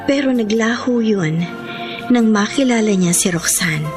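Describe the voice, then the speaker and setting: A woman's voice: a little high, expressive, measured pace. Lovelorn Filipino woman, music behind. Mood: sad, resigned. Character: lovelorn woman narrating her secret heartbreak. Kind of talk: Filipino radio drama narration. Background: music bed.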